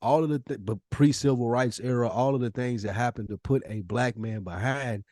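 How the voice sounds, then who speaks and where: A man's voice: medium pitch, a little fast, a little mellow, no room sound, clean studio sound. A man from the United States talking as a podcast host in casual, opinionated chat, slangy and emphatic in his delivery.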